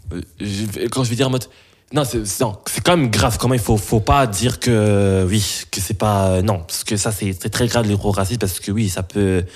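A young man, answering interview questions in a halting way, often searching for words. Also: mid-range voice. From Belgium. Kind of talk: casual interview talk.

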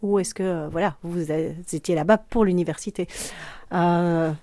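A woman's voice: lowish voice, steady tone, normal speed, mellow, dry recording. A woman interviewer asking questions with many hesitations and fillers.

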